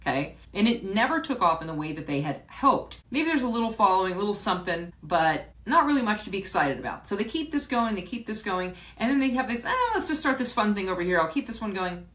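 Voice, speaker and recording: A woman hosts her own podcast and talks straight to her listeners in a loose, chatty way. Her pitch rises and falls a lot as she speaks. She repeats words, restarts her sentences and laughs softly now and then. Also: sing-song, fast, few pauses. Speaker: podcast host. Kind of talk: solo podcast reflection.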